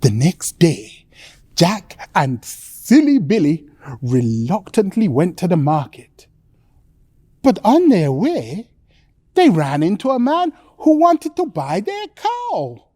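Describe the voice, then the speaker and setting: A woman narrates a children's story with theatrical flair. Her voice is soft-edged and swoops expressively, yet she takes her time, leaving deliberate pauses. The sound is close and dry. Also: lowish voice, huge pitch swings, breathy edge, dry recording. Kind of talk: pantomime bedtime storytelling for children.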